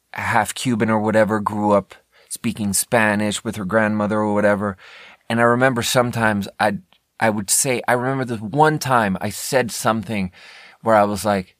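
An American man with a fairly low voice talks casually as a solo podcast host. He rambles candidly, breaking off and restarting his own sentences, in a dry recording with little room sound. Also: no room sound. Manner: candid, conversational, self-interrupting.

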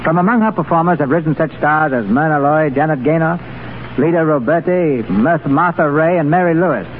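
A man's voice: on the high side, a little fast. A man hosting a 1930s radio show, presenting from a script in a formal, showman-like manner. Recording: noisy muffled 1930s radio recording.

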